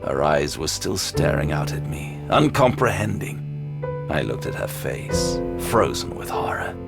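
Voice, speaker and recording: An actor playing a haunted, wounded detective, narrating a grim horror audio drama with grave intensity. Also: male voice, a bass voice, big pitch swings, unhurried, vocal fry, exaggerated voice. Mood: grieving, exhausted. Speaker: narrator and lead character. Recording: loud music bed throughout.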